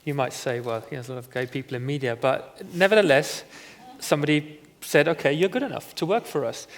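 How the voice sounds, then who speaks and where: Man on a conference panel, slightly high voice, earnest and reflective. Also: quick pace. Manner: reflective, earnest, frequent "you know". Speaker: panel guest, former footballer. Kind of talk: conversational panel talk. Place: conference stage.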